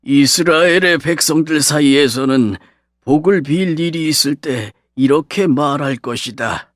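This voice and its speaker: A man playing an aged, dying patriarch who speaks solemnly and deliberately to his sons in a dramatized scripture audiobook. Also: a little high, dry recording, clean studio sound, close to an everyday voice. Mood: gentle, resolute.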